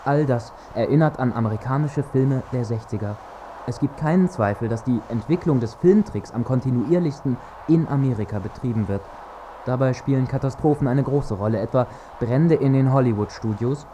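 A man's voice: mid-range voice, normal speed, warm tone, slight background noise, close to an everyday voice. A man acting in a scripted radio drama, playing an explanatory commentator.

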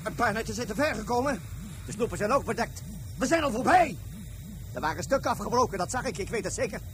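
The leader of an airborne raid in a space radio drama. His voice is very high and urgent, snapping out short orders and excited reports. Music plays clearly behind him. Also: quick pace. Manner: urgent, clipped commands and running report. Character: excitable, bossy male leader of a flying raid. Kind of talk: Dutch science-fiction radio play acting.

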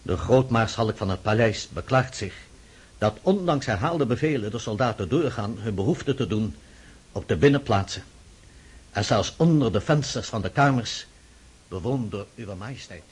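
An actor playing a formal, dutiful army officer who reads out a report deliberately in a radio drama. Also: male voice, lowish voice, lively intonation, husky. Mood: formal, grave. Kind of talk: radio drama acting, reading aloud.

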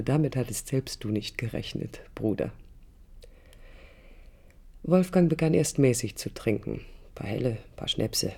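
Husky, low-voiced disillusioned young woman. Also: dark tone, heavily acted. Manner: reflective, intimate, literary. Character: disillusioned young woman architect recalling her failed marriage. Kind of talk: dramatic monologue in radio play.

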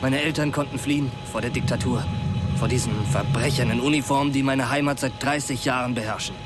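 An actor playing a fervent, unbending patriot in a radio drama, arguing insistently. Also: male voice, mid-range voice, fairly even intonation, brisk, close to an everyday voice. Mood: defiant. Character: fervent male patriot aircrew member, conspiratorial, unbending. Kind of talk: naturalistic German radio drama acting. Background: loud music under the dialogue.

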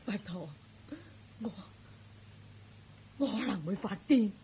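A woman's voice: mid-range voice, animated, slow, dark tone, slight background noise. An actress playing an aggrieved, vengeful old mother-in-law in a Hong Kong Cantonese radio drama, melodramatic and wailing, repeating her phrases. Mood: fearful, hesitant.

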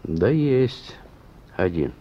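An actor playing a shrewd, stern police chief with an ironic, folksy way of talking in a Soviet radio play. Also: male voice, deep voice, big pitch swings, extremely slow, dark tone, husky, heavily acted. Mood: grim, stern. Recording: muffled old radio recording.

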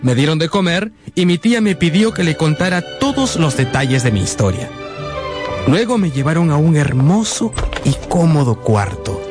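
A man's voice: fairly high pitch, very animated, normal speed. An actor playing an earnest, sentimental grown man narrating his boyhood in a radio drama. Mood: reflective. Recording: music behind the voice.

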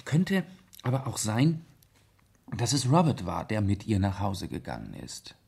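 An actor playing a gentleman detective in a 1970s radio play, reasoning calmly in with measured, deliberate pauses. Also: lowish voice, gravelly, breathy edge, exaggerated voice. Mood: pondering, explanatory.

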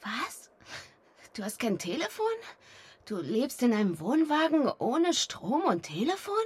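Radio drama role: needy young woman, whiny and dramatic, very high voice with a husky edge. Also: sing-song, unhurried, a little mellow, heavily acted. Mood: sulky, dejected. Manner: whiny, dramatic, self-pitying. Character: distraught, broke, needy young blonde female dancer.

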